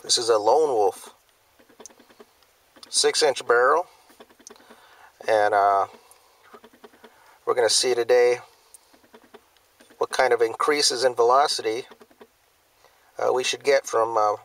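Halting man filming at a desert range. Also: medium pitch, fairly bright tone. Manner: hesitant, many "uh" fillers, plain and matter-of-fact. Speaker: firearms video host. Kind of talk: casual hobby demonstration. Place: outdoors in open desert.

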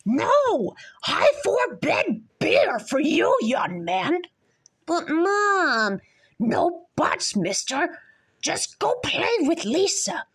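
Woman playing cartoon mom and kids. Extremely high pitch, swooping melody, unhurried delivery. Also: very animated, full character voice. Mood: scolding. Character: cartoon family: fussy mother and her children. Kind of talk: fan-made cartoon voice acting.

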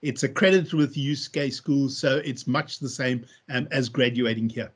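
A man's voice: mid-range voice, moderate pace, breathy edge. A middle-aged man with a South African accent, a veterinary school head, explaining steadily over a video call. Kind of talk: measured interview answers.

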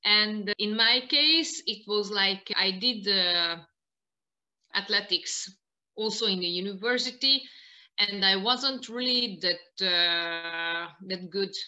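A woman in her thirties with a Hungarian accent, answering interview questions in halting non-native English on a video call. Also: medium pitch, bright, forceful tone, highs cut off. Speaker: interview guest, martial arts practitioner. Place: small living room indoors, on a video call.

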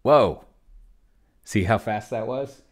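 A man's voice: lowish voice, slow. A middle-aged man giving casual livestream commentary, rambling and thinking aloud as he types. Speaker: host of a solo tabletop game video.

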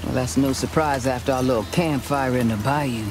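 A woman's voice: very deep voice, moderate pace, music in the background. An actress playing a mysterious, easygoing frontier woman in a video game, lightly teasing. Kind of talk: video game cutscene acting.

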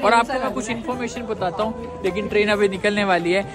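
A young man filming a travel vlog outdoors on a snowy train platform and inside a train, chatting excitedly with his viewers. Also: high voice, fast, over a noisy crowd. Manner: excited, chatty, keeps calling viewers brother. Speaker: travel vlogger. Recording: background music mixed under the voice. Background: music.

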